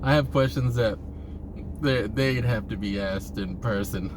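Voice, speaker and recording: A man giving a casual, rambling monologue to viewers, halting and repeating himself, with informal, sweary phrasing. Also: medium pitch, background noise. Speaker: vlogger.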